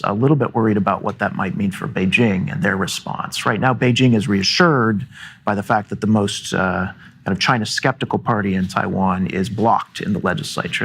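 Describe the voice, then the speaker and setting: Low husky guy on a policy panel, gravelly, analytical, lots of uhs. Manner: brisk, analytical, many "uh" fillers. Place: event hall before an audience.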